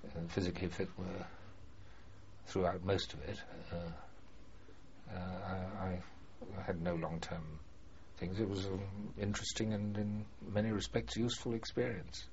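An older man being interviewed about his past, speaking formally and hesitantly with many pauses and fillers. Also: sits low, steady tone, darkish tone. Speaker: former prisoner of war, interviewee. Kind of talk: oral history interview. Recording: muffled archive recording with faint hiss.

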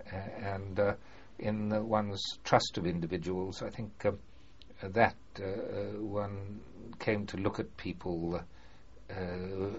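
An older man being interviewed about his past, speaking formally and hesitantly with many pauses and fillers. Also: low voice, steady tone. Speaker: former prisoner of war, interviewee. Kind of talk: oral history interview. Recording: muffled archive recording with faint hiss.

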